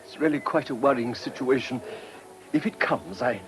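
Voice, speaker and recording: An actor playing a polite, hesitant, anxious village vicar in a period radio drama. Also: male voice, mid-range voice, moderate pace, over music, close to an everyday voice. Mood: worried.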